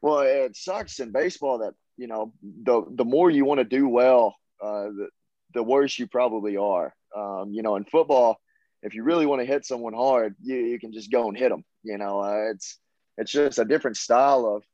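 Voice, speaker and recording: A young man in his twenties with a Southern US accent, answering interview questions in an easygoing, halting way with many fillers. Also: medium pitch, warm tone, no room sound, dull audio. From Tennessee, USA. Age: 20s. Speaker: college baseball player guest.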